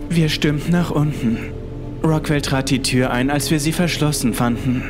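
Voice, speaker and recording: A man's voice: fairly high pitch, normal speed. A man reading a scripted first-person horror story as its narrator. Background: music.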